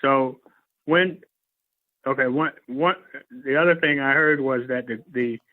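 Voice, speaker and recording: A man's voice: medium pitch, a little slow, no echo. A man calls in over a narrow, dry phone line to a public hearing. He puts his questions politely and hesitantly, in a businesslike way. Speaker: caller representing a nonprofit agency.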